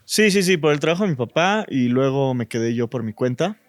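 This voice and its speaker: Mexican designer guest, man, smooth resonant voice, dry close sound, chatty and reflective. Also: mid-range voice, animated, brisk, no room sound. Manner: thoughtful, many fillers like "este" and "o sea". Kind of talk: relaxed conversational interview.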